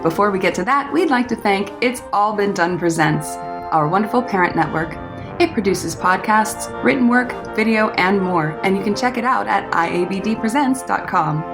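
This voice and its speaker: A woman's voice: medium pitch, expressive, normal speed. A woman announcing a comedy radio show in a scripted introduction. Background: music.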